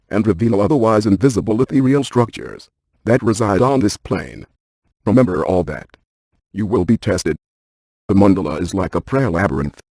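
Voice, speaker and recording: A methodical male scientist for a paranormal video game. His voice is fairly low and notably husky. He speaks in a precise, technical, deadpan way, like someone who takes every measurement seriously. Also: dry recording. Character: cerebral, methodical paranormal scientist, adult man. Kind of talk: scripted video game dialogue.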